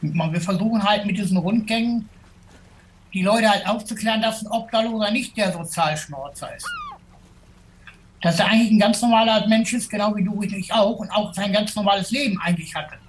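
A man's voice: high voice, fairly quick, a little hiss or hum, rough recording. A man talking casually and colloquially about his own experience. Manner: colloquial, plain-spoken. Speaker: formerly homeless city tour guide. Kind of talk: casual spoken testimony.

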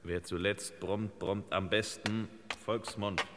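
An actor playing a wry, pompous master detective in an old radio crime comedy, in clipped telegram phrases with mock-gallant courtesy. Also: male voice, lowish voice, steady tone, slow pace. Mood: smug, wry. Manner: clipped telegram phrasing, dropping pronouns, mock-solemn sayings. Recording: reverberant old radio play, faint hiss.

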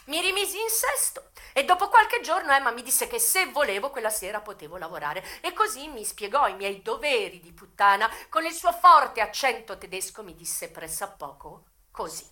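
A woman performing a theatrical first-person monologue for radio, expressive, with dramatic pauses and sudden swells. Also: fairly high pitch.

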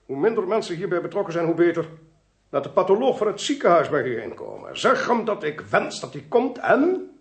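An actor playing a shrewd, commanding male investigator in a radio play, brisk and dryly ironic. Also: high voice, very animated, husky, full character voice. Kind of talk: Dutch radio play acting.

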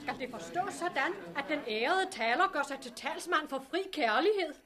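An indignant challenger in a radio play. Her voice is high and pointed, with crowd murmur around it. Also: lively intonation. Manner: formal, pointed. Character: indignant, challenging female questioner in a meeting. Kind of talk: 1960s radio drama acting.

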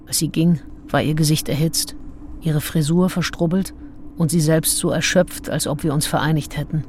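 An actress in a radio play voicing a frank, passionate nineteenth-century woman diarist, husky and confiding. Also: low-pitched, fairly even intonation, normal speed, a little mellow, a little hiss or hum, close to an everyday voice. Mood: confiding, aroused. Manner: confiding, intimate. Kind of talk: radio play, intimate diary monologue.

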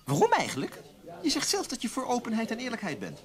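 A man's voice: extremely high pitch, huge pitch swings, fast, fairly bright tone, full character voice. An actor in a radio play playing an indignant, self-justifying male newspaper columnist who talks hesitantly and stammers. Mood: sheepish. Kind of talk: naturalistic radio play dialogue.

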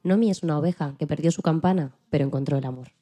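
Female narrator, low and very dark-toned, reading a scripted podcast piece. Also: fast, soft background music. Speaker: podcast host.

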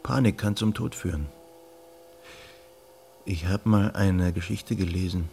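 An actor playing a thoughtful male investigator in a radio drama, speaking in a measured, understated, reflective way. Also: deep voice, steady tone, gravelly, darkish tone, a little hiss or hum. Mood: calm, pensive. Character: unhurried, thoughtful male investigator, storytelling sleuth.

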